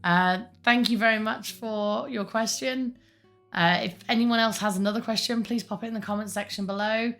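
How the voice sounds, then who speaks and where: A woman with a croaky voice, giving rambling, chatty advice to her viewers with self-mocking asides. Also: fairly high pitch, fairly even intonation, moderate pace, a bit bright, faint music behind. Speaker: larp advice host. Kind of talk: casual advice monologue.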